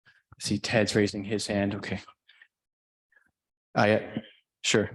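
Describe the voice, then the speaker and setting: A man giving a formal report to a town council, speaking in a measured, monotone way. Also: on the low side, slightly rough, poor audio. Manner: self-described monotone, measured and explanatory. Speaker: town chief administrative officer. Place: council chamber.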